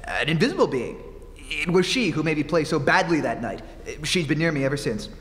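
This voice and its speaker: A man acting a tormented concert pianist in an old-time radio horror play, overwrought and halting, on stage in a reverberant hall. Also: on the high side, big pitch swings, a bit bright. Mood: haunted, confessional. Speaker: amateur stage actor. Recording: noisy live theatre hall, long reverb.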